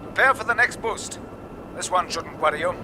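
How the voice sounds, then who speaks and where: An actor playing a formal, polite mission control operator, measured in his radio procedure, heard over a distant radio link in a 1950s drama. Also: high-pitched, animated. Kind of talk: 1950s radio science fiction acting. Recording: noisy, distant vintage radio sound.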